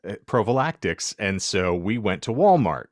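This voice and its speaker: A man's voice: on the low side, very animated, moderate pace, dry recording, clean studio sound. A man co-hosting a podcast, telling stories casually in a wordy, wry, self-deprecating way with ornate vocabulary. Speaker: podcast co-host.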